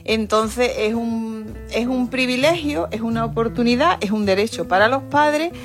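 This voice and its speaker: A woman's voice: fairly high pitch, normal speed, faint music behind. A woman, a religion teacher, explaining things earnestly and steadily on a panel talk show.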